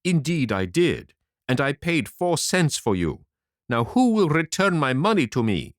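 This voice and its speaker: A man voicing a grumpy, stingy grown man who speaks gruffly and indignantly. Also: a little high, sing-song, a little slow, no echo, studio quality, voice pushed up.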